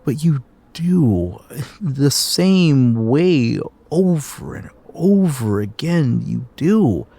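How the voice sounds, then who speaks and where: A man's voice: medium pitch, expressive, very slow, gravelly, dark tone, slightly rough, full character voice. A man acting a hurt, accusatory fiancé leaving his partner in an audio drama. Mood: bitter, accusing. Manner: wounded, accusatory.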